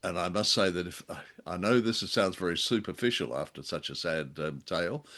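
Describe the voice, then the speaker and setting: A middle-aged man co-hosting a podcast in casual chat, speaking in a hesitant, halting way. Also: lowish voice, fairly bright tone. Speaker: podcast co-host. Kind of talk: casual scripted-topic chat.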